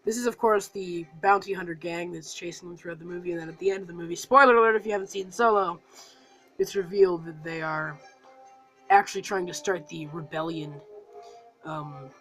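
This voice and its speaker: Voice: mid-range voice, steady tone, fairly quick, a bit bright, soft background music, rough recording. Rambling young reviewer with a cold. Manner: rambling, full of fillers and restarts. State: has a cold. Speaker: toy set reviewer. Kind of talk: casual product review.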